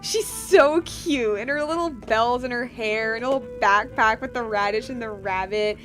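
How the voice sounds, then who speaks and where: A young woman chatting casually on a live game stream, rambling and gushing in an informal way. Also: fairly high pitch. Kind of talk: casual live stream chat over gameplay. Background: game music.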